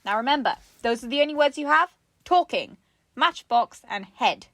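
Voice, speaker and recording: A woman's voice: sits high, lively intonation, moderate pace, fairly bright tone, no echo. A woman chatting casually and playfully as co-host of a craft show, giggly and enthusiastic. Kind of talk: casual comedic chat.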